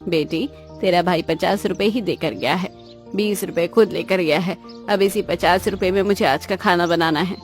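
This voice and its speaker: A woman voicing a weary, practical middle-aged mother of a poor family in a cartoon, measured and matter-of-fact. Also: fairly low pitch, faint music behind, natural voice. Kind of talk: cartoon moral-story dialogue in Hindi.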